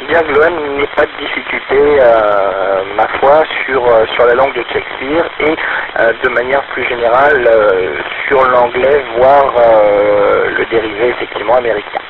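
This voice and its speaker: A man's voice: mid-range voice, moderate pace, phone-quality sound. A man from France chatting as an amateur radio operator, wordy, hesitant and courteous. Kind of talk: casual radio chat. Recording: very noisy background on radio conversation.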